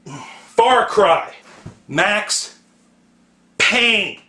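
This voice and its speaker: A man hosting a profane comedic rant in an exasperated, emphatic, shouting manner. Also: sits high, lively intonation, extremely slow. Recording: echoey room, distant microphone.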